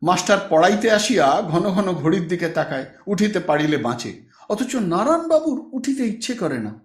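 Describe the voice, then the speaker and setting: A man narrating a literary audiobook in an expressive, dramatic reading style. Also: sits high, normal speed. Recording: echoey room.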